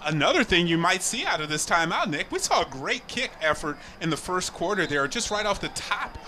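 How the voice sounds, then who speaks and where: A man giving chatty, analytical color commentary on a high school football game from a press box, with outdoor noise behind him. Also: big pitch swings, a little fast, bright, forceful tone. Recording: noisy outdoor stadium feed.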